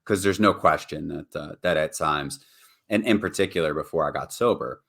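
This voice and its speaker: A man with a very deep voice co-hosting a podcast, talking earnestly and reflectively, peppering his points with "right?" and "like". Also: moderate pace, gravelly, fairly bright tone. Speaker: podcast co-host. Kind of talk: casual earnest discussion.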